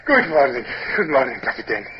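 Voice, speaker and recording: An actor playing an over-polite Spanish colonial officer in a 1950s radio drama, with flowery, formal phrasing. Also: male voice, mid-range voice, very animated, moderate pace, lightly acted. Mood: courtly. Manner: flowery, over-polite, flustered when surprised. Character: courtly, flattering Spanish colonial officer bearing letters. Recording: muffled, slightly noisy vintage radio recording.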